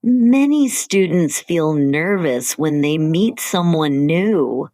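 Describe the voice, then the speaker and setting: A woman co-hosting an English-learning podcast, speaking slowly and carefully in simple scripted sentences. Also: low-pitched, lively intonation, warm tone, no room sound, studio quality. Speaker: podcast co-host.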